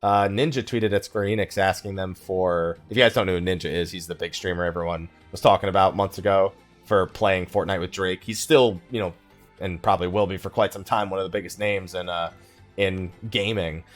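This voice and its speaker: A man's voice: low-pitched, fairly even intonation, a little fast, faint music behind. A man hosting a gaming let's play, chatting casually in a rambling, joking way with mock exasperation and self-mockery. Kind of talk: casual chatty game commentary.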